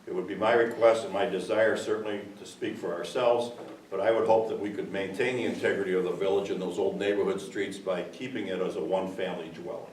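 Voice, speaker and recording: A retired local man stands to address a village board, loud and earnest, wandering through his memories with plenty of fillers. His low voice comes from well off the mic in a hollow, echoing hall with a faint hiss behind. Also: fairly even intonation, fast, far from the mic. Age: older. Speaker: resident giving public comment. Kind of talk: public comment at a village meeting. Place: village meeting room. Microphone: far room mic.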